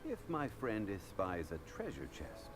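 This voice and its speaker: An actor playing a composed, wise scholarly consultant in a video game, speaking in a measured, formal manner. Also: male voice, lowish voice, huge pitch swings, music in the background. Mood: courteous, measured. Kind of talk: animated game character voice acting.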